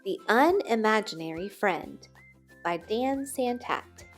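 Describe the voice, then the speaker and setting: A woman reading a children's picture book aloud expressively, with dramatic pauses and emphasis on key words. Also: medium pitch, animated, over music. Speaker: storybook reader.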